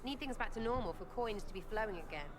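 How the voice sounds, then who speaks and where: A woman's voice: a little high, lively intonation, quick pace, lightly acted. A woman voicing a brash, mocking young rogue in video game dialogue, teasing and flippant. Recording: game audio with clear background noise.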